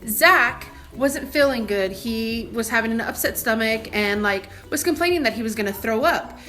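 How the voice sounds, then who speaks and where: High, bright-voiced young woman telling a story. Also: expressive, moderate pace, soft background music. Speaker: vlogger telling a personal story. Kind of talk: casual animated storytelling.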